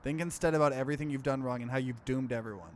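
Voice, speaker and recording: A let's play host reading game narration aloud and reacting to it in an animated way. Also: medium pitch, very fast, slight background noise. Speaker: gaming video host.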